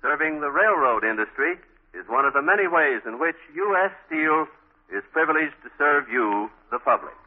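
A man's voice: on the high side, animated, moderate pace. A man with an American accent delivering a formal, polished sponsor message as a 1940s radio announcer. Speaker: commercial spokesman, announcer. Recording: thin, muffled old radio recording.

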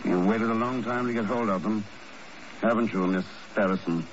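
A man's voice: lowish voice, moderate pace, close to an everyday voice. A man playing a cool, resolute secret agent in a 1950 radio drama, composed, clipped and authoritative. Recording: muffled, noisy old radio broadcast.

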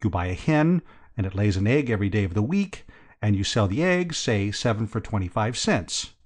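A man's voice: lowish voice, sing-song, moderate pace. A man voicing a hapless upper-class young nephew in an audiobook, flustered, earnest and rambling. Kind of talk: audiobook character dialogue.

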